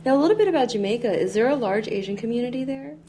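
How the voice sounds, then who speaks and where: Friendly woman interviewer, mellow voice. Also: fairly high pitch, animated, racing, slight background noise. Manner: friendly, laughs often, with many short backchannels. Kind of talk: casual interview conversation.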